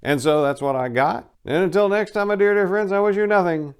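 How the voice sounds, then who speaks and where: A man's voice: high-pitched, a little fast, smooth. A man giving a dramatic reading in a conversational, storytelling way with emphasis on key words. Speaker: host narrating a dramatic book reading. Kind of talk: dramatic first-person audiobook reading.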